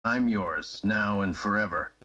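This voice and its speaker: A man playing a devoted, yielding young male lover in a live-streamed romantic roleplay reading, with slow, breathy, dramatic declarations. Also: fairly low pitch, bright, forceful tone, lo-fi sound.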